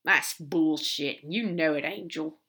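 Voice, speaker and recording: A woman's voice: deep voice, lively intonation, normal speed, pushed and bright, exaggerated voice. A woman voicing a brash, affectionate male demon in audiobook dialogue, blunt, casual and emphatic. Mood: indignant.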